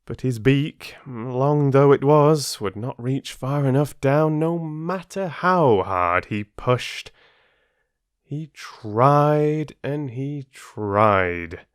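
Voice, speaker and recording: A man who reads stories aloud and chats to his listeners in a playful, expressive way. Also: mid-range voice, no room sound, studio quality. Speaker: audiobook narrator and channel host. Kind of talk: storybook narration and casual chat to listeners.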